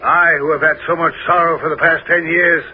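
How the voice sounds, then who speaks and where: A man's voice: fairly high pitch, flat delivery, brisk, a little hiss or hum, close to an everyday voice. An actor playing an aged, proud king in a 1970s radio drama, speaking in a commanding, stately manner. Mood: weary. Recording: dull old radio broadcast.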